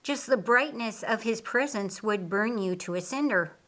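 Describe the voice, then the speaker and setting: A woman voicing a patient, wise male teacher in a storybook, explaining things in a measured way. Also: a little high, fairly bright tone, lightly acted. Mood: patient teaching.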